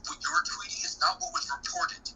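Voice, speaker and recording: A woman's voice: normal speed, sharp tone. A woman in a private recorded phone conversation, talking in an urgent, pleading, repetitive way. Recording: noisy recorded phone call.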